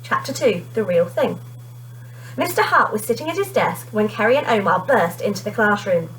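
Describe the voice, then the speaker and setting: A woman teacher reading a children's storybook aloud in an expressive narrating style. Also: mid-range voice, normal speed, slight background noise, poor audio.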